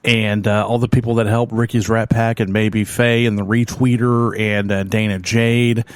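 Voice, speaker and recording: A man's voice: on the low side, little pitch movement, moderate pace, smooth, dry recording. A man with a Southern American accent hosting a metal radio show, chatting loosely with lots of ums and uhs and self-deprecating asides from a small home studio. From Oklahoma, United States. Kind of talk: casual rambling radio chat.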